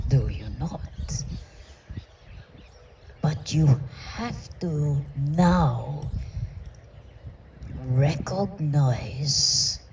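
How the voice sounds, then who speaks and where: A spiritual teacher giving a slow channeled message to a group in formal, old-fashioned phrasing, often sinking into a breathy whisper. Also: medium pitch, mellow, highs cut off. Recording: distant mic with clear background noise.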